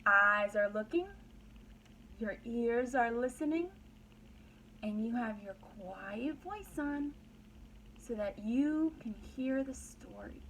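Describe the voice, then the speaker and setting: A woman with a fairly high voice teaches a read-aloud lesson to very young children. She speaks slowly, repeats simple phrases and checks in with short questions. There is clear background noise on the recording. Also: expressive, a bit bright, low-quality recording. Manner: instructional, simple phrases with repetition and prompting questions. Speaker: pre-kindergarten teacher.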